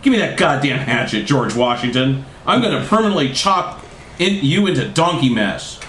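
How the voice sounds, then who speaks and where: A furious, bratty teenage girl from a storybook , as a male reader puts her on, pitched high and swearing freely. The voice swings up and down dramatically in an echoey room. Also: very animated, normal speed, a bit bright. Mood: furious, taunting. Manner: bratty, profane, exclamatory. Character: angry, sulky, foul-mouthed teenage girl. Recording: roomy echo, faint noise.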